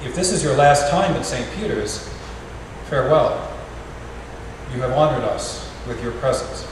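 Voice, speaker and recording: A middle-aged man reads a poem in a gentle, wry, unhurried way. He is picked up from across a big reverberant parish hall, with a steady hiss of noise under his voice. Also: mid-range voice. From United States. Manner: gentle, wry, measured reading with pauses. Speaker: parish artist in residence reading a poem. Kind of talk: poetry reading at a farewell gathering. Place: large echoey parish hall. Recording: echoey hall from far off, noisy.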